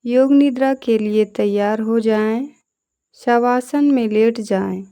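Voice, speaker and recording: A woman leading a guided yoga nidra meditation as an instructor, calmly giving short, deliberate instructions. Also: on the high side, smooth.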